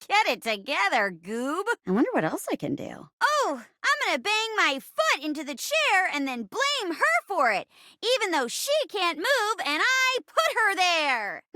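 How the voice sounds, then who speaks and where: A high-voiced young actress chair come to life for a video game. She is earnest and casual, with a very animated, swooping delivery. The sound is close and dry. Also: no room sound, heavily acted. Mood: giddy, excited. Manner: animated, earnest, casual, halting when uncomfortable. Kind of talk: video game character dialogue.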